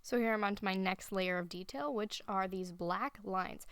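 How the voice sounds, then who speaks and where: A woman giving a relaxed voice-over, explaining her painting steps as she thinks aloud. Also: mid-range voice, moderate pace, clear, resonant tone, no echo, studio quality. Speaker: art instructor. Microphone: voice-over recorded separately.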